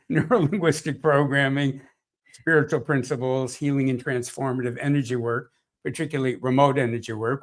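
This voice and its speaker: A man introduces himself on an online panel in a mid-pitched voice. He sounds cheerful and friendly. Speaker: energy work practitioner.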